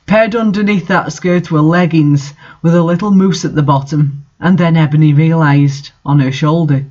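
A man's voice: fairly high pitch, steady tone, normal speed, a little mellow, highs cut off. A man reading fan fiction aloud in a theatrical, wry way, with casual asides.

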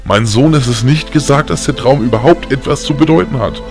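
A man voicing a caring, weary old grandfather in an audiobook, gentle and measured in his telling. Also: mid-range voice, faint music behind, close to an everyday voice. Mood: reassuring. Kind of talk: audiobook character acting.

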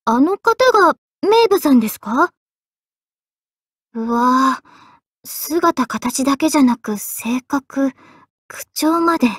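A woman playing an earnest, polite, quietly self-deprecating young female mage in expressive anime game voice lines. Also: high-pitched, slow pace, pauses often, no echo, clean studio sound. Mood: taken aback. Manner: polite, expressive, shifting between excited and subdued.

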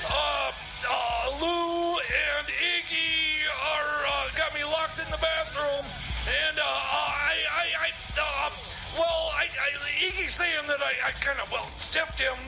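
A frantic caller in a radio comedy. His voice is very high, shouted and creaky, and he stammers and repeats himself in a fluster over loud music. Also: fairly even intonation, slow, full character voice, poor audio. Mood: panicked, flustered. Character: panicked, stammering man phoning from a locked bathroom.

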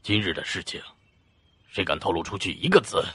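A commanding emperor in a period audio drama. His voice is deep, very rough and forceful, delivering terse, stern orders. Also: sing-song, a little fast, exaggerated voice. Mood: threatening. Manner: imperious, terse.

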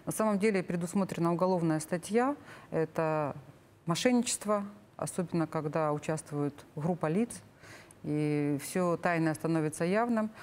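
A woman's voice: low-pitched, normal speed, a little mellow. A middle-aged woman explaining things as an expert guest in a studio interview, searching for words. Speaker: government official, expert guest. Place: TV studio, seated at a table. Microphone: lapel.

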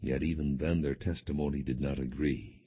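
A low-pitched man narrates scripture in a scripted audiobook style, solemn and measured. His voice has a husky grain, and the recording is muffled and thin, like a phone line. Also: steady tone. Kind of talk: scripted scripture narration, non-dramatized reading. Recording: muffled, narrow phone-like sound.

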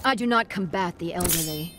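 An actress playing a proud royal warrior in a fighting game, imperious, formal and cutting. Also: female voice, fairly low pitch, a little fast, noisy background, close to an everyday voice. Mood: cool scorn. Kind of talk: dramatic fighting-game acting.

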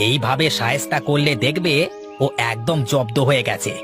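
A man's voice: medium pitch, normal speed, natural voice. A man voicing a jealous, gossiping villager in a cartoon fairytale, mocking and conspiratorial. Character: jealous, gossiping male villager, sly troublemaker. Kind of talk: animated moral fairytale dialogue. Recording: music behind the voice.

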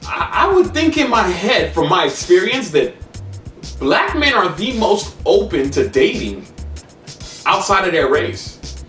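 A man in his thirties co-hosting a casual, opinionated discussion, halting and repeating words as he makes his point. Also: sits high, big pitch swings, fairly bright tone, soft background music. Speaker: co-host of online discussion show. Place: small room at home, indoors. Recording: echoey home room, distant mic.

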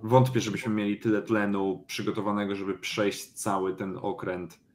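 Low-voiced man at a tabletop game. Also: flat delivery, normal speed, slight background noise. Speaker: player in a tabletop role-playing game. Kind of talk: in-character tabletop game discussion.

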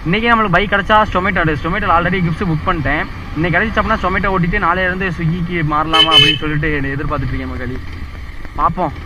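A young man talks at a rapid clip in a slightly high voice. He rambles on to his viewers from an action camera on his moving motorbike. Clear road and wind noise runs under him the whole way. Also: muffled recording. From India. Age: young adult. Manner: chatty, addresses viewers constantly, rambling repetitions. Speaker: motovlogger. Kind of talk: casual chat to viewers while riding. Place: outdoors on a moving motorbike, city streets. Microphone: helmet or chest action camera.

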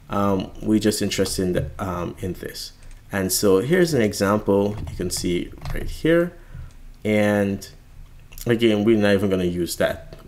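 Gravelly Guyanese man teaching code. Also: on the low side. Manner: relaxed, thinking aloud while typing. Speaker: programming instructor. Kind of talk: screencast coding tutorial.

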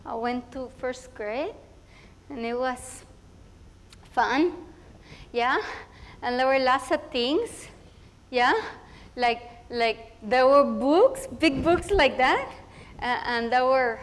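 A woman's voice: sits high, long reverb tail. A woman playing a chatty little girl with a Mexican Spanish accent. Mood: eager. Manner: chatty, halting, mixing Spanish into English. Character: young Mexican-American girl on her first school day.

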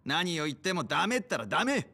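An actor playing a stubborn, dismissive pawnshop clerk in a video game, sneering and flippant. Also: male voice, fairly high pitch, sing-song, quick pace, sharp tone, exaggerated voice. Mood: smug, dismissive. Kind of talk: video game cutscene acting.

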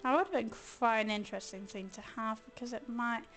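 Hoarse woman streaming a game. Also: fairly high pitch, a little fast, a bit bright, soft background music. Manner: chatty, thinks aloud, grumbles playfully. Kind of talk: casual let's-play commentary.

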